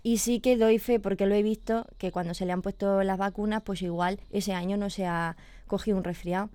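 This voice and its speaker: A woman's voice: mid-range voice, steady tone, brisk, warm tone, clear, resonant tone, no echo. A woman, a veterinarian explaining pet illnesses patiently on a radio chat, checking in with her listener as she goes. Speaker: veterinarian guest.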